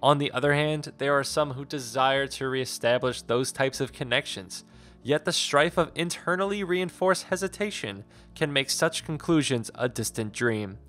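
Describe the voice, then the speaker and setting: A man reading a scripted game review in a measured, formal way. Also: medium pitch, fairly bright tone. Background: background music.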